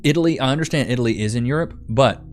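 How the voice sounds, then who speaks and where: A man's voice: mid-range voice, racing, faint music behind. A young man, hosting a live stream and chatting casually while reading comments, laid-back and rambling. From Texas. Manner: laid-back, rambling, repeats himself, says "bro".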